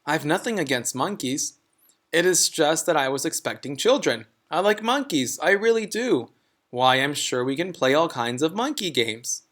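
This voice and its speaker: Man putting on a high, dotty lady schoolteacher. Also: expressive, normal speed, fairly bright tone. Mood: apologetic, eager. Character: nice, dotty, easily astonished woman schoolteacher.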